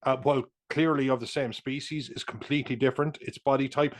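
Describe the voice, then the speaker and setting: Casting note: man, mid-pitched, casual podcast co-host, rambling and animated. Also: quick pace. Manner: rambling, restarts, swears, animated asides. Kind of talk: casual film discussion.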